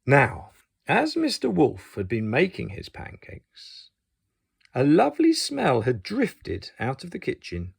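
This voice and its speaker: A man reading a picture book aloud to young children as an expressive storyteller. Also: medium pitch, takes it slowly, gravelly, slightly rough.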